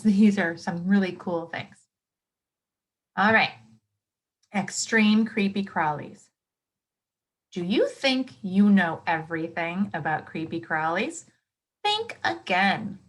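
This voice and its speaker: A woman reads aloud to children in the way of a teacher. Her intonation swings widely and she takes her time. She is expressive, exclaiming and pausing to explain or to put questions to her young listeners. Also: fairly low pitch. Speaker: teacher reading a nonfiction book aloud to children.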